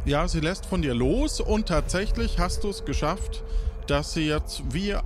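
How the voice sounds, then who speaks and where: A man narrating an improvised story as game master, deliberate and given to dramatic pauses. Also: a little high, music in the background.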